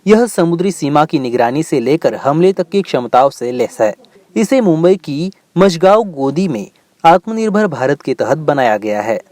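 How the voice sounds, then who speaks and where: A man's voice: on the high side, big pitch swings, normal speed, darkish tone, no echo. A man delivering scripted news narration as a voice-over reporter.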